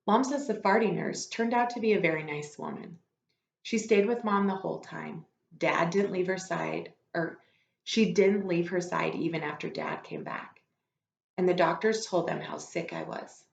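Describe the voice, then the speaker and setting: A woman reading a story aloud like a teacher to students, expressive and informal, with casual asides. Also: lowish voice, normal speed, gravelly. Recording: echoey room, slightly dull lo-fi sound.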